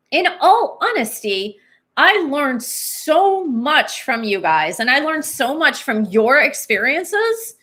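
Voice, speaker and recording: A woman in her forties hosting a live Q&A from a small room, chatty and animated as she answers viewers, her voice a bit worn. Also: sits high. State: losing her voice. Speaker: livestream host and twin flame reader.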